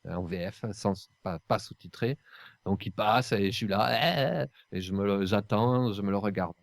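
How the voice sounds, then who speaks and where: Low-voiced man in casual, emphatic chat. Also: dry recording.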